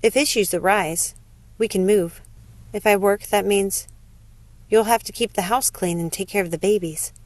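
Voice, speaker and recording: Young nurse in a novel, read by a woman narrator: practical, reassuring, mid-pitched, dry recording. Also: moderate pace, a little mellow, no echo, lightly acted.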